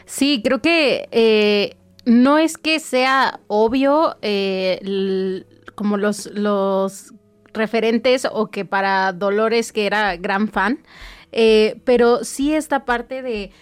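A woman's voice: medium pitch, extremely slow, clean tone. A woman, co-hosting a music podcast, speaking hesitantly with many fillers. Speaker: podcast co-host. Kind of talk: casual music chat.

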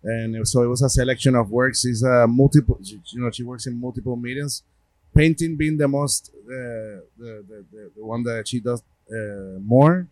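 A middle-aged man with a Puerto Rican accent talks casually about his gallery. He rambles, restarts and fills gaps with "uh" and "you know," at an even middle pitch. The sound is close and dry. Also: moderate pace, no echo. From Puerto Rico. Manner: rambling, many restarts and fillers. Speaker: gallery owner and artist. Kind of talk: casual interview talk.

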